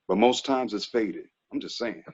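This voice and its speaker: An actor reading a frank, laid-back twenties ex-athlete who is quietly troubled, slangy and earnest, in a TV drama table read. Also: male voice, lowish voice, moderate pace, slight background noise, lightly acted. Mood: frank, teasing. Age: young adult. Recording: dull lo-fi recording.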